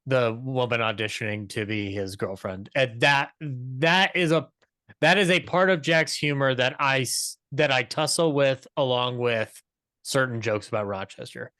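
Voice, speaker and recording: A man's voice: mid-range voice, unhurried, pushed and bright, no echo, studio quality. A young man chatting enthusiastically as a podcast panelist, talking through his favorite moments of a show. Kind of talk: conversational show commentary.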